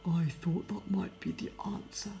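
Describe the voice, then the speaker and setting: A woman voicing a gentle, lovelorn male fairy-tale Beast, earnest and grave, for a storybook. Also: fairly low pitch, fairly even intonation, a little slow, vocal fry, a little hiss or hum. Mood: sad, resigned.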